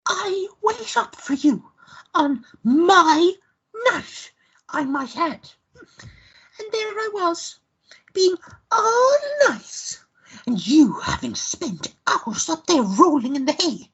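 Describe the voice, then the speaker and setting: A woman playing a jealous, sharp-tongued lover in a radio play, indignant and melodramatic. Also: very high-pitched, sing-song, takes it slowly, lo-fi sound, exaggerated voice. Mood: wounded, tearful.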